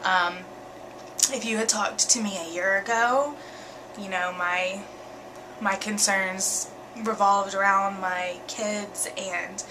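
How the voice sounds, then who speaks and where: A young woman, talking candidly in a home vlog, earnest and full of ums and pauses. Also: mid-range voice, background noise. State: congested with a cold, sniffly. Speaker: vlogger. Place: bedroom at night.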